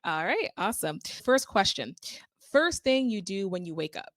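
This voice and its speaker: A woman's voice: medium pitch, big pitch swings, moderate pace, a bit bright, no room sound, clean studio sound. A woman with a Northeastern US accent interviewing a guest, bubbly and laughing often. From Connecticut. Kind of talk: upbeat casual interview.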